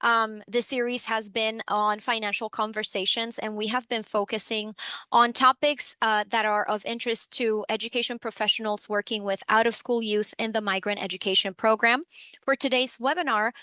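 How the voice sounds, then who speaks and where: A woman's voice: fairly high pitch, bright, forceful tone, clear, resonant tone, no room sound. A woman from the Dominican Republic presenting an educational webinar in a friendly, upbeat way, with frequent fillers, on a computer microphone. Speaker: webinar presenter, program supervisor.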